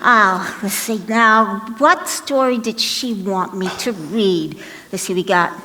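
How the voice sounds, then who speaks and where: A woman's voice: medium pitch, slow, sharp tone, in a large hall, slight background noise, low-quality recording. A woman playing a brash, grumpy, wisecracking substitute storyteller in a comic children's skit. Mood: brusque, flustered. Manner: sassy, exasperated, theatrical reading.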